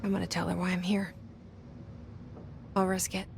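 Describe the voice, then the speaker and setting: An actress playing a brooding, guarded young woman's inner thoughts in a video game, hushed, intimate and breathy with some creak. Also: lowish voice, level tone, normal speed, background noise. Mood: guarded, secretive. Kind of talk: introspective video game monologue.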